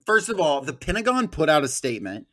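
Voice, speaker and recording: A man's voice: fairly high pitch, sing-song, fast, fairly bright tone. A man co-hosting a podcast, giving casual political news commentary in a sardonic, profane way. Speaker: podcast co-host.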